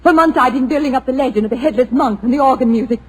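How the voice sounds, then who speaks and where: Young villainess, gloating and unhinged, high voice. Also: female voice, very fast, a little mellow, slightly rough, rough recording. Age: young adult. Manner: proper then gloating and unhinged.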